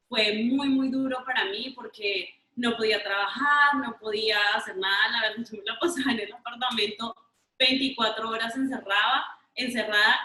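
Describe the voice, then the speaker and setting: A woman's voice: fairly high pitch, sharp tone. A young woman with a Colombian accent, telling personal stories casually and repeating words for emphasis. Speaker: interview guest. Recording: roomy, echoing sound.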